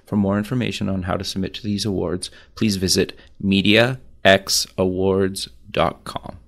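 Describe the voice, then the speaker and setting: Gravelly-voiced male podcast host. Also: low voice, steady tone, normal speed, fairly bright tone. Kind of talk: podcast interview with sponsor reads.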